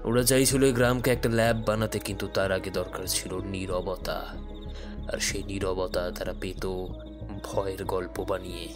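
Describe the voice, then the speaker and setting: An actor playing a calm, enigmatic detective in an audio thriller, speaking in a measured, deliberate, brooding way. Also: male voice, low voice, natural voice. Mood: grave, explaining. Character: calm, enigmatic male detective who works in the shadows. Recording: dramatic music under the voice.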